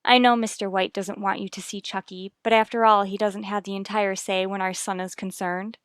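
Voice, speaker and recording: A woman's voice: mid-range voice, level tone, brisk, a bit bright, clear, resonant tone, dry recording, lightly acted. An actress playing an anxious but determined young married mother in a vintage radio soap, speaking formally and politely in a dry recording. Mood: pleading, insistent.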